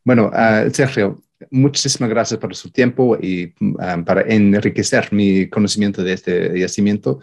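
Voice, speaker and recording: Lower-pitched male interviewer, non-native English speaker, halting and searching for words. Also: darkish tone. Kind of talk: casual interview.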